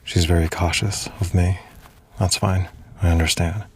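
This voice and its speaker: An actor playing an awkward, earnest space commander who stammers and mutters nervously to himself in an audio drama. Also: male voice, little pitch movement, moderate pace, heavily acted. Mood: earnest, careful. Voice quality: low, gravelly. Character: awkward, earnest space commander, self-deprecating emissary, smitten. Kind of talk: immersive first-person audio drama.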